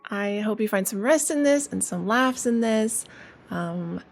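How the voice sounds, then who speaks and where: A woman narrates a storybook aloud in a gentle, unhurried voice. She keeps things casual, with soft fillers between passages, and her pitch is moderate and even. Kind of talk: cozy read-aloud narration.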